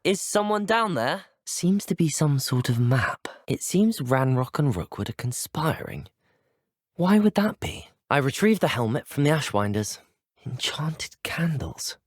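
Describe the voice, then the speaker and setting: Video game hero: British schoolboy wizard, courteous and curious, husky, animated intonation. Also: male voice, on the high side, a little slow, no echo, studio quality, exaggerated voice. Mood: wary, puzzled, then hushed wonder. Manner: polite, well-spoken, formal. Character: polite, curious, brave teenage student wizard. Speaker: video game player character. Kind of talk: scripted video game dialogue.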